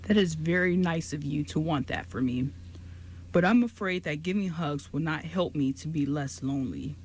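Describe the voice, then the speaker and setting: A kindly male giant as an actor plays him, polite and caring, with deliberate, formal wording and a medium pitch. It is radio drama, recorded with faint noise behind. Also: lightly acted. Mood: lonely, earnest. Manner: careful, formal, deliberate phrasing. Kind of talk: amateur radio play acting.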